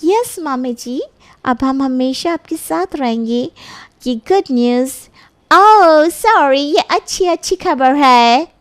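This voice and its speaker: A woman's voice: high voice, expressive, slow pace, no room sound. A woman voicing a cheerful young daughter-in-law in a comic cartoon, speaking hesitantly and mixing in English words. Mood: eager. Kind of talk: Hindi comic cartoon acting.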